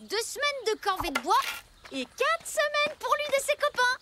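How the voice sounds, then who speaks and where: Very high, plucky cartoon child. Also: female voice, moderate pace, heavy background noise. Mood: relieved. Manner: expressive, exclamatory. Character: plucky young child, loyal and determined. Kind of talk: French animated series dubbing.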